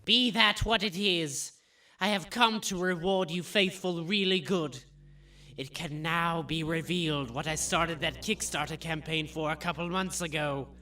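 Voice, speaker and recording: A man's voice: a little high, unhurried, sharp tone, distant mic. A man playing a smarmy, scheming, fat small-town mayor giving pompous speeches in a comic audio drama.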